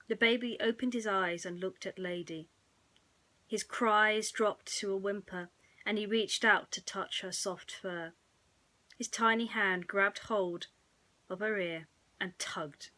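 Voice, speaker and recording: Female bedtime storyteller, unhurried and hushed, with a bright edge to the tone. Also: mid-range voice, low-quality recording. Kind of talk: soothing bedtime story narration.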